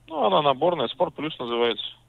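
A male car salesman talks on a narrow, tinny phone line, with a gravelly edge in his voice. He stays businesslike and patient and pushes back calmly when he disagrees. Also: fairly high pitch, fast. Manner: patient, businesslike, mildly argumentative.

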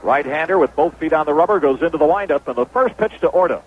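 Thin old-radio baseball announcer, higher-pitched man. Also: animated, fast talker, phone-quality sound, a little hiss or hum. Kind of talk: radio sports commentary.

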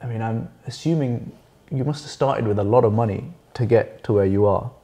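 Easygoing male interviewer, mellow tone. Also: mid-range voice, fairly quick, breathy edge. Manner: easygoing, warmly reactive questioner. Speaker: interview host. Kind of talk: casual interview chat. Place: living room of a furnished house, seated on a sofa.

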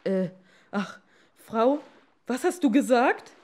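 A woman's voice: fairly high pitch, sing-song, darkish tone, pitched above the performer's own voice, heavily acted. Woman acting a hesitant, henpecked fisherman. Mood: startled. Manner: hesitant, drawn-out, pleading. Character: timid, submissive henpecked fisherman husband.

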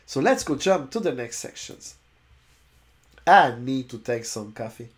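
A man's voice: mid-range voice, expressive, unhurried. A man in his forties whose first language is French, reading a website aloud and chatting about it at his desk, often stumbling and restarting on long words. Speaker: crypto YouTuber. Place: indoors, webcam overlay on screen share.

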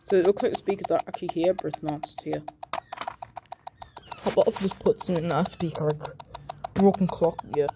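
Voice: mid-range voice, fairly even intonation, quick pace, long pauses, noisy background. A person giving casual, rambling commentary with crude, sweary, jokey muttering, recorded outdoors on a handheld phone. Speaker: amateur video maker. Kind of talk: casual rambling commentary while smashing a toy. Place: outdoors on pavement beside a brick wall. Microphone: handheld phone close to the speaker.

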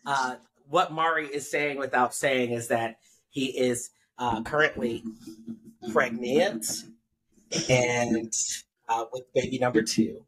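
A man in his thirties hosting a casual podcast panel, laughing easily and explaining things in a relaxed way. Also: mid-range voice, slow, slight background noise, poor audio. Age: 30s. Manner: laid-back, laughing, explaining. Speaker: podcast host.

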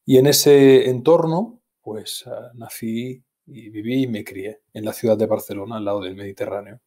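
A man in his fifties with a Spanish accent speaks in a slightly husky voice over an earphone mic on a video call. He reflects calmly and slowly on his family, pausing and restarting words. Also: lowish voice. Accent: Spanish from Spain. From Spain. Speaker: guest. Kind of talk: reflective personal storytelling. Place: home study with bookshelves.

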